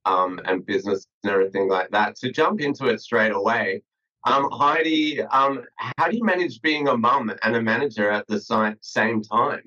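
A man's voice: medium pitch, sharp tone. Australian man with muffled call audio. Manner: halting, many fillers and restarts. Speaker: podcast host. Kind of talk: interview by video call.

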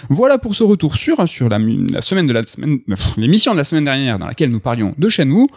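A man's voice: a little high, sing-song, fast, no echo, clean studio sound. A man hosting a casual video game news podcast, chatty and upbeat, with many fillers and restarts. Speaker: host.

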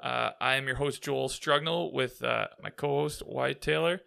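A man hosting a podcast, chatting casually in a slightly raspy voice and rambling through a story with many fillers. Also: mid-range voice, fairly even intonation, moderate pace, pushed and bright. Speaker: podcast host. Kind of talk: casual chat.

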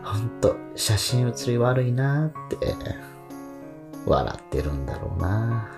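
A man playing a tender, grieving elderly husband in a radio drama, speaking slowly and haltingly with sentiment. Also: medium pitch, sing-song, creaky, music in the background, exaggerated voice. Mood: grieving, wistful. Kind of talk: radio drama acting and narration.

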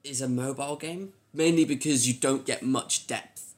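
A man's voice: mid-range voice, normal speed, a little mellow. A man speaking as a podcast co-host, in loose, informal conversation. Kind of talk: casual chat.

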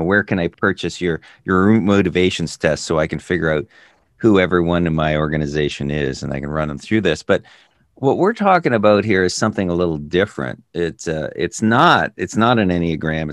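A man hosting a podcast, asking measured questions and stumbling a little as he starts. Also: sits low, no room sound, studio quality. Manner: measured, stumbles over words when starting. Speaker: podcast host. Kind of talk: interview questions.

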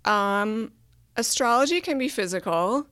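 A woman's voice: a little high, animated, a little slow, fairly bright tone, clear, resonant tone, no echo, studio quality. A woman hosting a podcast who chats casually, rambling enthusiastically and laughing often as she explains her work as an astrologer. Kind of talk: casual conversation.

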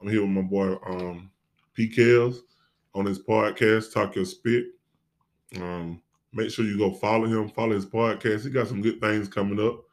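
A man's voice: fairly low pitch, fairly even intonation, moderate pace. An American man speaking casually and informally as an interview guest, repeating phrases for emphasis. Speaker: podcast guest, stage play actor.

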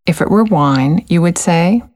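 A woman reading scripted narration for a language course in a calm, encouraging, measured way. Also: fairly low pitch.

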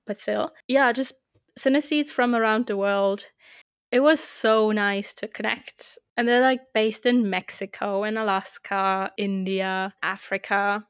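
A woman's voice: medium pitch, clear, resonant tone, no room sound, studio quality. Chatty German woman in her twenties. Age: 20s. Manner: chatty and upbeat, with fillers and laughs. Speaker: podcast host. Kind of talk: casual solo podcast intro.

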